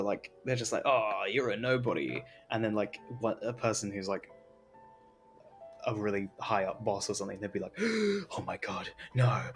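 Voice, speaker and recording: Low-voiced young man streaming a game. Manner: slangy, rambling, jokey. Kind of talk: casual live game stream commentary, reading aloud and reacting. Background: game music.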